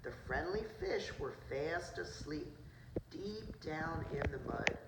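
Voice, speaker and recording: Low-voiced woman reading a picture book, distant, noisy. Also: animated, slow. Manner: simple storytelling with asides about the pictures. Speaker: preschool teacher reading to children. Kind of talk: picture book read-aloud. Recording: roomy, distant mic, noisy background.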